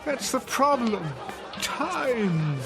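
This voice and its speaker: An actor playing a bewildered, anguished older man in a radio drama, with a halting, pleading, theatrical delivery. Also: very high-pitched, very animated, gravelly, full character voice. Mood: agitated, desperate. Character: anguished, bewildered older gentleman lost among his past. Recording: music and crowd chatter behind.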